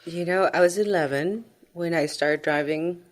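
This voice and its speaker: Low-voiced woman from El Salvador. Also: moderate pace. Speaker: guest, coffee business owner. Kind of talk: radio interview conversation.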